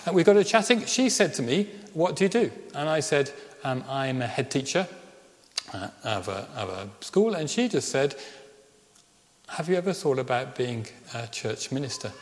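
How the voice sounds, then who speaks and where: A middle-aged man from Birmingham with a slight Birmingham accent touched by Welsh Ceredigion tones, preaching a sermon as a vicar. He tells a personal story earnestly and slows down on key phrases. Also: medium pitch, expressive. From Birmingham, England. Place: church. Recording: reverberant church hall.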